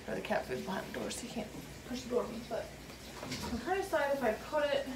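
A woman's voice: a little high, wildly swooping pitch, quick pace. A middle-aged woman vlogging at home, thinking aloud in casual chat as she works. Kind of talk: casual chat while decorating. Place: small laundry room indoors at home. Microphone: camera or phone set a few feet away. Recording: roomy, distant mic, noisy background.

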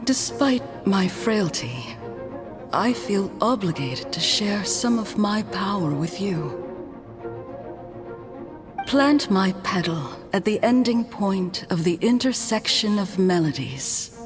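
A woman voicing a frail, gentle flower spirit in a video game, measured and poetic. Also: medium pitch. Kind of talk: scripted video game dialogue. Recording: long reverb.

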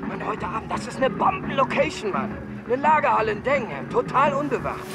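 High-pitched, brash young party guy. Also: big pitch swings, a little fast, creaky, full character voice. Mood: hurried, excitable. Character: brash, excitable young male party organizer. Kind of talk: audio drama dialogue, slangy. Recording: loud music behind.

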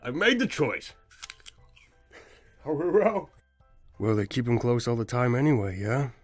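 Lively, joking man with swooping pitch. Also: unhurried, dry recording, faint music behind. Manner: joking, self-mocking, mumbling. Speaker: amateur voice actor. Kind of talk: outtake chatter and line retakes.

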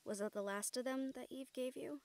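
A woman playing an excitable, caring young mage in an intimate audio roleplay, with animated, halting delivery. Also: high voice. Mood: tired, thoughtful. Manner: animated, halting, swearing freely.

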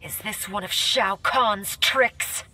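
An actress playing a proud, resolute young warrior princess in a video game, speaking in formal, measured commands. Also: female voice, mid-range voice, recorded from a distance, very noisy, close to an everyday voice. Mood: hurt, suspicious. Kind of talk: scripted video game fight dialogue.

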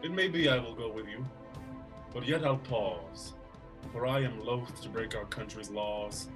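A man's voice: medium pitch, unhurried, recorded from a distance, music in the background, lightly acted. A man in a video-call Shakespeare reading, playing a weary older uncle who resigns himself to his weakness. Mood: resigned. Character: weary elderly uncle, powerless regent. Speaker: actor. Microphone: video call webcam.

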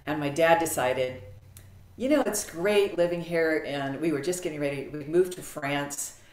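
A middle-aged American woman talking as a podcast guest on a video call, animated and clear with frequent ums. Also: low-pitched, moderate pace. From United States. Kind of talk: casual interview storytelling. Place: home office, video call. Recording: roomy video-call audio.

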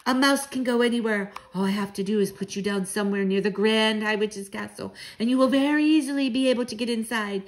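A woman's voice: fairly high pitch, steady tone, fairly quick, few pauses, close to an everyday voice. A woman voicing a bold, proud old Norwegian grandmother in a storybook, speaking with assured, dramatic emphasis and affection. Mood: rousing, emphatic.

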